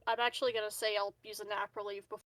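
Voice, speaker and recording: A woman's voice: medium pitch, flat delivery, racing, a bit bright, no room sound. A woman chatting casually as a player in a tabletop game, hesitant and thinking aloud.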